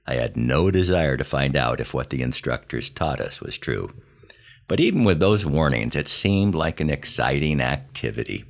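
An American man in his seventies narrating scripted first-person memoir stories in a wry, plain-spoken, measured way. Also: a bass voice, animated, vocal fry. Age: 70s. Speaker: podcast host telling his army memories.

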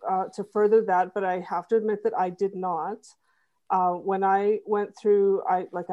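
A middle-aged woman talking through her web development work in a relaxed interview, with plenty of restarts and fillers. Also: medium pitch. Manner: thoughtful, many fillers and restarts. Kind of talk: conversational interview.

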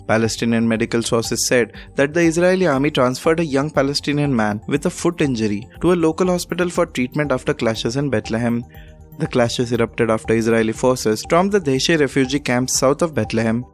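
A man with an Indian accent reading scripted news as a presenter in a formal, measured manner. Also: medium pitch.

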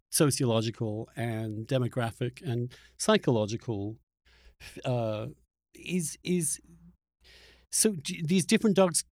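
A man hosting a conversational interview, thinking aloud in a discursive, halting way as he works toward his questions. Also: mid-range voice, darkish tone, no room sound, studio quality. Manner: musing, discursive, builds long questions haltingly. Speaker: podcast interviewer, host.

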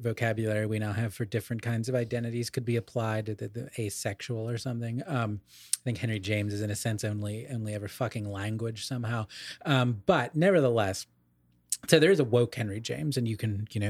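A man's voice: on the low side, dry recording. A man co-hosting a casual literary discussion, analytical and careful to qualify, using academic vocabulary. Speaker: podcast co-host.

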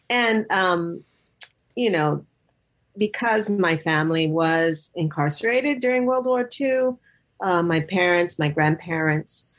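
A woman film director speaking in a podcast interview, talking thoughtfully with many pauses, fillers and restarts. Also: mid-range voice. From Los Angeles.

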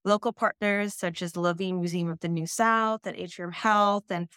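A woman originally from the Netherlands, in her thirties, answering interview questions in a measured, explanatory way. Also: lowish voice, fairly even intonation, a bit bright, clear, resonant tone, dry recording, studio quality. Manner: measured, explanatory, occasional restarts. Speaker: school district planning head.

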